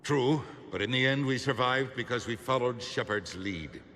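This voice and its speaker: A man playing a proud, insistent human politician in a video game, forceful and oratorical. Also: medium pitch, lively intonation, normal speed, a little hiss or hum. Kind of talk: dramatic video game acting. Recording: echoing hall, faint background ambience.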